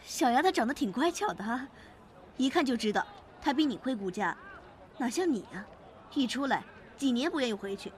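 A woman's voice: a little high, expressive, fairly quick, a little hiss or hum, close to an everyday voice. A woman acting a fussy, nagging older mother, chiding and motherly, in a Chinese audio drama.

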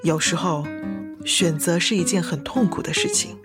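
A woman's voice: fairly low pitch, fairly even intonation, moderate pace. A woman narrating a scripted radio drama in a lyrical storytelling manner over music. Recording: loud guitar music behind the voice.

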